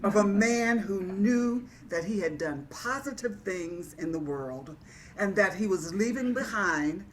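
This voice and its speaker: A woman playing an accomplished female writer, looking back on her life in a theatrical, measured storytelling monologue at an amateur stage reading. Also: medium pitch, expressive, natural voice. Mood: reflective, stately. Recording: live room with faint background noise.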